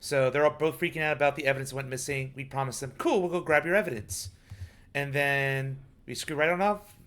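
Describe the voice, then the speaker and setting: A man's voice: medium pitch, fairly even intonation, brisk, fairly bright tone. A man chatting casually with friends in a game dub session, jokey and colloquial as he explains things. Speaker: amateur voice actor in a game dub. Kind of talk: casual group chat, recapping a game.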